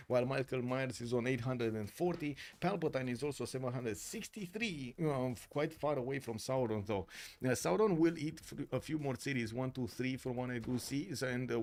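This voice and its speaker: A man casting a strategy game tournament live, chatting casually with viewers and breaking the play-by-play with lots of fillers. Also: mid-range voice. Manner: casual, filler-heavy, talks to viewers by name. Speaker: tournament caster and streamer. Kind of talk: live game commentary while reading chat.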